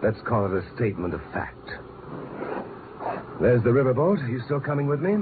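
An actor playing a stern, curt, ominous clinic doctor in a 1950s radio drama. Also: male voice, mid-range voice, lively intonation, moderate pace, natural voice. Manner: curt, commanding. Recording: tinny, noisy old radio broadcast.

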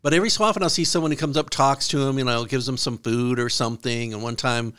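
A man's voice: mid-range voice, fast, fairly bright tone, clear, resonant tone, no room sound. A man co-hosting a podcast, telling stories in a casual conversational style. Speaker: podcast co-host. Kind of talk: casual storytelling.

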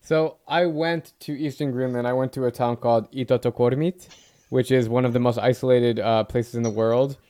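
Man, podcast host, enthusiastic, rambling, smooth clear voice. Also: medium pitch, steady tone, normal speed, mellow. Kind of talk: casual interview chat.

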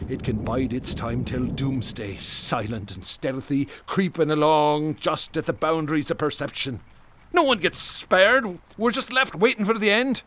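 A haunted police detective in a radio drama, his voice pitched a little high. He is bitter and obsessive, speaking in florid, brooding phrases with theatrical weight. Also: a little slow, fairly bright tone, a little hiss or hum. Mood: agitated, despairing.

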